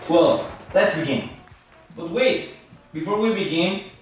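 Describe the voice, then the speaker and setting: A man's voice: a little high, animated, a little slow, faint music behind. A man playing a philosopher who hosts a late-night talk show in an amateur skit. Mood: welcoming. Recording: echoey room, distant mic, faint noise.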